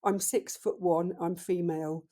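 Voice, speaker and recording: A deep-voiced woman in her fifties speaks as a podcast guest. Her tone is mellow and dark, and she takes her time, weighing each thought slowly. She sits low in pitch for a woman. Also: fairly even intonation. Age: 50s. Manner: slow, thoughtful, reflective. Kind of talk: casual interview talk.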